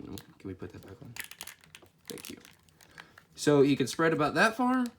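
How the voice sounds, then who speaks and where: A man with a stuffy nose and a voice cracking from a cold reviews a toy figure in a chatty, easygoing way, thinking aloud as he handles it. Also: medium pitch. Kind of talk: casual product review. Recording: clear steady background noise.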